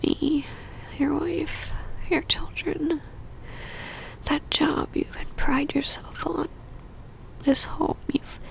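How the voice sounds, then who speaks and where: A woman playing a possessive, lovesick female vampire in an ASMR roleplay, whispering breathily in a halting, pleading and tender way. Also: high voice, gravelly, darkish tone, heavily acted, low-quality recording.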